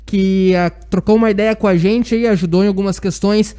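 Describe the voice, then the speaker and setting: Gaúcho guy on sports radio, pretty high voice, chatty and polite. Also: steady tone, a little fast, dark tone, clear, resonant tone. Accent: southern Brazilian, gaúcho. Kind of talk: live sports radio chat.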